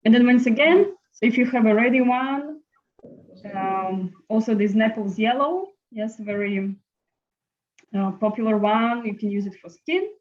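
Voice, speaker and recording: A woman teaching a friendly live online painting class, chatting in an unhurried way with many fillers. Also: on the high side, a little hiss or hum. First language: Latvian. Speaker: art teacher. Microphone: laptop video call. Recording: slightly muffled video call audio.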